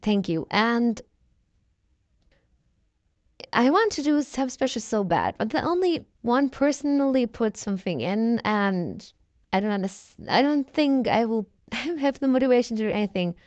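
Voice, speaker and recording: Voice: mid-range voice, expressive, normal speed, darkish tone, dry recording, dull audio. A young-sounding voice run through a voice changer, chatting casually in a rambling vlog. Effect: voice changer filter. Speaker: content creator.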